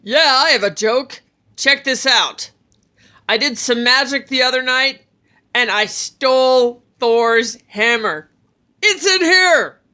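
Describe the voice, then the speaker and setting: Woman shouting as a gloating giant king. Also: lively intonation, slow, exaggerated voice. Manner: loud, mocking, booming. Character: boisterous gloating male frost giant king.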